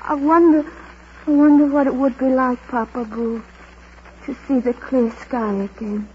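A woman's voice: sits high, steady tone, a little slow. An actress playing a timid, despairing young woman in a golden age radio drama, hesitant and pleading. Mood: wistful. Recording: muffled, noisy vintage radio broadcast.

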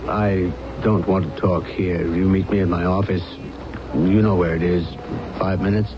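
An actor in a radio drama playing a composed, quietly suspicious doctor who questions in a measured, deliberate way. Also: male voice, deep voice, steady tone, close to an everyday voice. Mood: calm, guarded. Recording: muffled, noisy old radio broadcast recording.

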